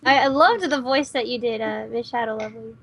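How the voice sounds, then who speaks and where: A woman's voice: on the high side, brisk, a bit bright. A woman chatting casually with friends in a playful, somewhat hesitant, rambling way. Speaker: voice actor in radio play readings. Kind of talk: casual group chat.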